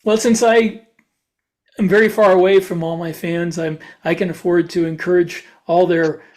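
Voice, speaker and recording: A man's voice: high-pitched, fairly even intonation, normal speed, darkish tone. A man chatting casually as a podcast host and author, with a wry manner. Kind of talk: casual chat.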